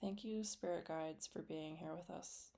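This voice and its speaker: Female meditation guide, lower-pitched voice, gentle and unhurried scripted delivery, soothing, with long pauses. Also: gravelly.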